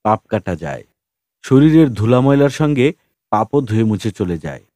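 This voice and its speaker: A man voicing a calm, whimsical, philosophical wanderer in an audiobook, matter-of-fact and gently teasing. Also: lowish voice, normal speed, no room sound, clean studio sound. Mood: musing, explanatory.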